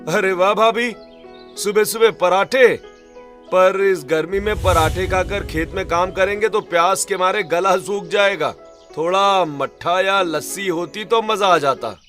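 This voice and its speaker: A man's voice: sits high, unhurried, a bit bright, faint music behind. A man voicing a cheerful young farmer brother who teases his family in a cartoon story. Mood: teasing.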